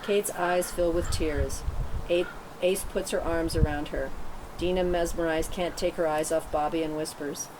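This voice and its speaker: Lowish-voiced woman narrating over noise. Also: fairly even intonation, normal speed. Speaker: narrator reading stage directions. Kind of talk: scripted narration at a table read. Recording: noisy room, rumbling ambience.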